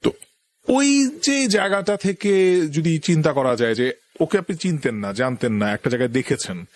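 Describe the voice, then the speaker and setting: A man's voice: a little high, animated, moderate pace. A man with a Bangladeshi accent hosting a conversational radio interview, asking probing questions. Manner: probing questions with frequent acknowledgements. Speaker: radio show host interviewing guests.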